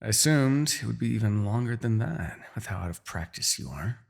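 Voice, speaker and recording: A man playing a haughty, seductive and menacing demon king in a fantasy audio roleplay, with a theatrical, taunting, drawn-out delivery. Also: fairly low pitch, animated, creaky, husky, exaggerated voice. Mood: smug, taunting. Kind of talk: scripted fantasy ASMR roleplay acting.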